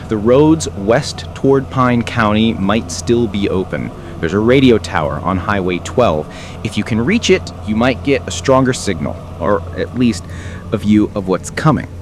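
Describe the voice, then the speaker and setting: A man playing a weary small-town radio announcer giving grave, halting emergency broadcasts in a zombie apocalypse drama. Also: fairly low pitch, close to an everyday voice. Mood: somber, resigned. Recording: noisy radio broadcast ambience.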